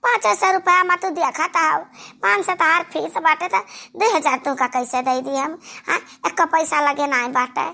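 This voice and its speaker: A cartoon village woman, extremely high and piercingly bright. She wails and scolds in an Awadhi accent, loud and melodramatic, with a creaky edge. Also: steady tone, unhurried, exaggerated voice. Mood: indignant. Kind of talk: exaggerated cartoon comedy dialogue.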